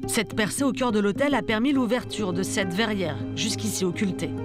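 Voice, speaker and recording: A woman reading a documentary voice-over in a measured, even way. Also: medium pitch. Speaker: documentary narrator. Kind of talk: scripted voice-over narration. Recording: studio voice-over with music underneath.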